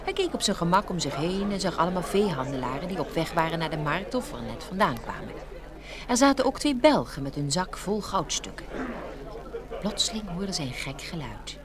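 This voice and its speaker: Low-voiced woman narrator with very lively intonation. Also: moderate pace, a crowd in the background. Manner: storytelling, expressive. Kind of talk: scripted children's fairy-tale narration.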